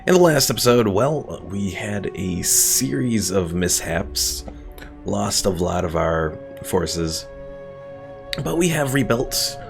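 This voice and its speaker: Male streamer with a very low, gravelly voice, relaxed and talking through his moves while game music plays behind him. His commentary is casual let's-play chatter, and he breaks off now and then to greet viewers in the chat. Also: slow. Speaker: gaming streamer.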